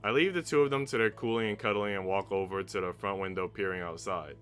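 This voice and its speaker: A man's voice: lowish voice, quick pace, fairly bright tone. A man streaming a visual novel, mixing slangy, casual reactions with reading the narration aloud. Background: game music.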